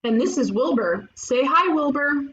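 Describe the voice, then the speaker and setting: Casting: woman as impulsive young cartoon sleuth, pointed delivery, slightly high voice, lo-fi mic. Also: animated, a little slow, fairly bright tone. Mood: friendly. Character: blunt, impulsive young female ghost detective. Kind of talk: animated comedy table read. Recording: dull, noisy home microphone.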